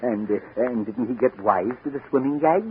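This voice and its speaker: A man's voice: medium pitch, normal speed, breathy edge. A man playing a genial, amused doctor in a 1940s radio drama. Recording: tinny, hissy 1940s radio recording.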